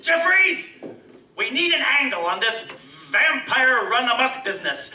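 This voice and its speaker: Man playing a barking newspaper editor, high and sharp. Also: big pitch swings, a little slow, a little hiss or hum, exaggerated voice. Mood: gleeful. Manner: brusque, barking, laughs at chaos. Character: blustering male newspaper editor. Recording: roomy live stage from a distance.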